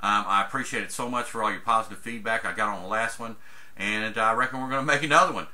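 A man's voice: fairly low pitch, quick pace, sharp tone. A man, teaching an HVAC troubleshooting chart in a folksy, chatty way over a screen recording. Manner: folksy, chatty, says "guys" often. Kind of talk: casual screen-share tutorial.

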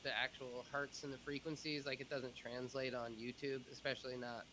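A woman's voice: very deep voice, level tone, brisk, a crowd in the background, low-quality recording. A woman chatting casually and animatedly as the host of a panel on a video call. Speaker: podcast producer and host. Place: indoors at home, on a video call.

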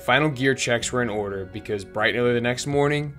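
A man's voice: mid-range voice, fast talker, faint music behind. A young man vlogging while fly fishing outdoors by a river, chatting casually and narrating over the footage. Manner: excitable, shouts during catches.

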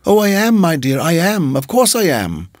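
A man's voice: fairly high pitch, sing-song, moderate pace, no room sound, studio quality, pitched above the performer's own voice. A man putting on a cooing, sweet landlady. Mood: cooing sweetness. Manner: cooing, fussy, overly pleasant. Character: sweetly unsettling middle-aged landlady woman.

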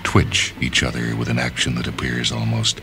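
A man reading a literary short story as a radio narrator, in a measured, deliberate and dramatic delivery. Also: extremely low pitch, completely flat delivery, vocal fry. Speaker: reader of short stories on a radio show. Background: background music.

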